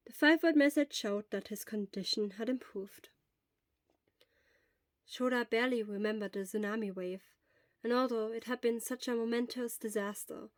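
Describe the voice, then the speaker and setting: A woman's voice: mid-range voice, no echo. A woman reading a scripted story aloud as a narrator. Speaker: podfic reader.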